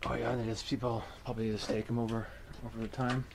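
A man's voice: medium pitch, flat delivery, fast talker, gravelly. A man from Canada chatting casually as he explores for his vlog. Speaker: urban exploration vlogger. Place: inside abandoned building. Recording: noisy location sound with clicks.

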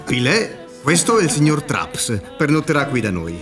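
Radio play casting: courteous old host, lively intonation, slyly genial, music behind. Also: male voice, fairly high pitch, normal speed. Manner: ceremonious, polite. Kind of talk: Italian radio drama acting. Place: theatre stage, radio drama recording.

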